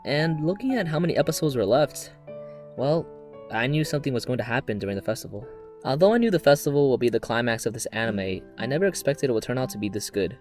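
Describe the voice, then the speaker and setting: Man narrating over clearly audible music. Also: medium pitch, expressive, quick pace, a little mellow. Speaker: anime reviewer and video narrator. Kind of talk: casual scripted video essay with comic asides. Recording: background music under voice.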